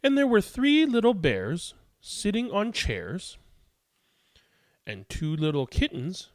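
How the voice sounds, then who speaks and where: A man with a gravelly voice, hosting and reading bedtime picture books aloud for young children. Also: high voice, wildly swooping pitch, slow pace, no room sound, studio quality. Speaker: host of a children's read-aloud show. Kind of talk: casual chat and bedtime picture book read-aloud.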